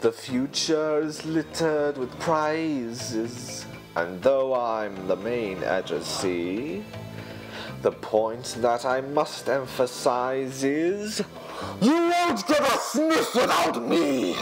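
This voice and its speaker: A man's voice: mid-range voice, animated. A man playing a haughty, scheming lion usurper in a theatrical musical song cover, sneering and drawing out his words. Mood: boastful, grandiose. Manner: pompous, sneering, drawn-out theatrical delivery. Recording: amateur song cover over backing music.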